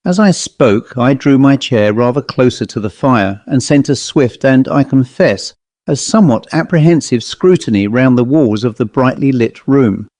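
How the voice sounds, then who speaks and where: Mellow, low-key male storyteller. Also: dry recording. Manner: measured, literary, expressive reading. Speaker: audiobook narrator. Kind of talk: scripted narration of a classic ghost story.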